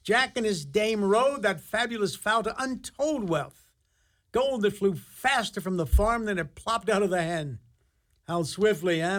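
A man's voice: sits high, fairly bright tone. A man narrating a fairy-tale radio play in an ornate, dramatic, theatrical style. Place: radio studio.

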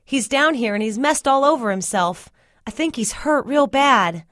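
A woman's voice: sits high, lively intonation, normal speed, no echo, voice pushed up. A frightened young girl in an audiobook story, as a woman reads her. Her voice sits raised and urgent, breathless with danger. The recording is dry and close. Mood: urgent, worried. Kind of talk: audiobook character dialogue.

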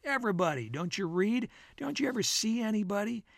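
A reader puts on a brash American man, a wisecracking character from a storybook novel. He is needling and declamatory, pitched fairly high, in a dry studio sound. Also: expressive, a little fast, creaky, no echo. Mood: mock-scolding.

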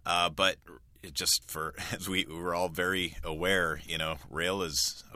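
A man's voice: low-pitched, fairly even intonation, measured pace, sharp tone, dry recording, studio quality. A man speaking as a news reporter, explaining a story informally in a radio conversation.

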